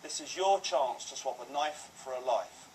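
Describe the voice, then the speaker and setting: A man's voice: mid-range voice, moderate pace, a little mellow. A British man, a police officer, giving a scripted public safety appeal in a formal, earnest manner. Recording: distant, slightly noisy screen playback.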